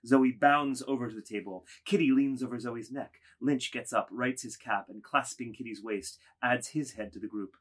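A man reading stage directions as the narrator of a literary drama, in a measured scripted delivery. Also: medium pitch, husky.

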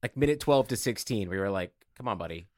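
A man's voice: fairly low pitch, animated, fast talker, no room sound, clean studio sound. A man co-hosting a podcast in casual sports banter, sarcastic and given to swearing. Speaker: podcast co-host.

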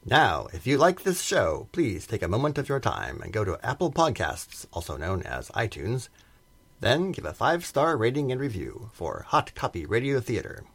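A man's voice: medium pitch, huge pitch swings, normal speed, a bit bright, slightly rough. A man working as a radio drama announcer, reading scripted credits in a formal, theatrical manner.

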